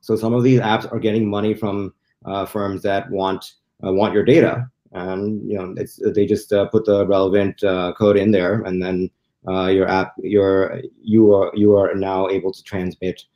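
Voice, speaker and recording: A man's voice: low voice, little pitch movement, normal speed, warm tone. A man giving a casual explanation as a guest on a video call, often rephrasing himself. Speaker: guest tech commentator.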